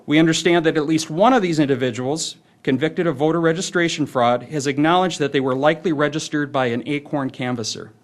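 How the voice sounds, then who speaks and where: A man with a slightly high voice hosts a press conference. He delivers a prepared statement formally, with a halting flow as he works through it. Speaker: press conference host and spokesman.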